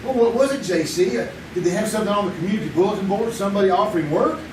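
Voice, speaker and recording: A man's voice: high-pitched, very fast, noisy background, lightly acted. A man playing a broke young schemer. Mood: wry. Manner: wry, joking, easygoing. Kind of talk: 1950s-style radio drama acted live on stage. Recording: echoey theater sound from a distant mic.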